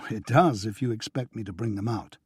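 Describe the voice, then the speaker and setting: A man's voice: fairly low pitch, a little fast, slightly rough, no room sound. A man reading the lines of a dry, reluctant male journalist in an audiobook. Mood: wry.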